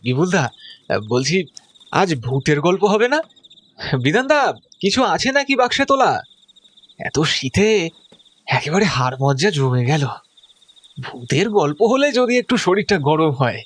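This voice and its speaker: A man's voice: a little high, very animated, a little slow, long pauses. A man playing an eager, shivering village man in an audio drama, with a pleading manner. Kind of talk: audio story acting.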